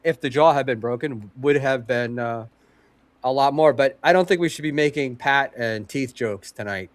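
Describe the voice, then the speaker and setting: Nasal, stuffy man with deadpan jokes. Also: medium pitch, moderate pace, dry recording. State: stuffy nose. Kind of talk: casual podcast banter.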